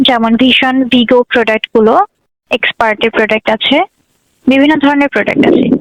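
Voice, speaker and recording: A woman's voice: a little high, fairly even intonation, fast talker, no echo, highs cut off. A young Bangladeshi woman working as a customer service agent, speaking in a polite, formulaic, formal way on the phone.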